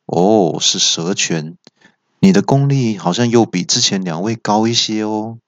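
A man voicing an unhurried, politely teasing monk and martial artist who starts hesitantly and speaks philosophically in a told story. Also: on the low side, no echo, dull audio, natural voice. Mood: unruffled.

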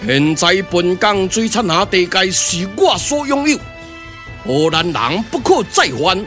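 Stern, declamatory rebel hero, forceful. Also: male voice, sits high, sing-song, unhurried, voice pushed down, exaggerated voice. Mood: commanding. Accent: Taiwanese. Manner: declamatory, formal, solemn. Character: imposing, righteous male rebel leader, stern and measured. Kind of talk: Taiwanese glove-puppet radio drama. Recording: music under the voice.

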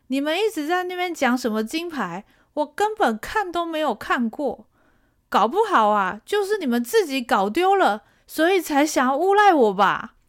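A woman voicing a poor, stubborn orphan peasant boy who talks in a drawn-out, pleading way. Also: high voice. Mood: defiant. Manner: defiant, pleading, drawn-out delivery.

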